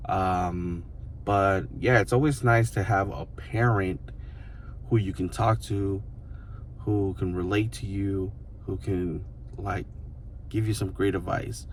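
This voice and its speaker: A man in his thirties with a very low voice talks straight to his viewers as a vlogger. He muses in a loose, rambling way and keeps leaning on fillers. A faint hum of room noise sits behind him. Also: fairly even intonation, takes it slowly. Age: 30s. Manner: rambling, loose, full of fillers such as "you know" and "like". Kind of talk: casual reflective monologue to the camera.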